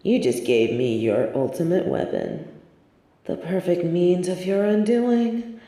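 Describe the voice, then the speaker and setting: A sardonic female supervillain, acted by a woman in an intimate audio roleplay. She speaks slowly in a soft, dark, slightly low voice, weighing words with theatrical poise. Her scheming, wry manner carries a grudging streak of sympathy. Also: animated, exaggerated voice. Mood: scheming, gloating. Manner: theatrical, measured, wry, drops into muttered asides.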